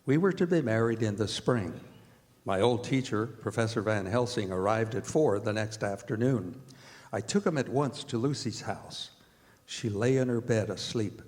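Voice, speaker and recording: A man's voice: on the low side, moderate pace, darkish tone, lightly acted. A man playing an earnest, devoted doctor who narrates a radio drama. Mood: earnest, formal. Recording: echoey hall, live stage performance.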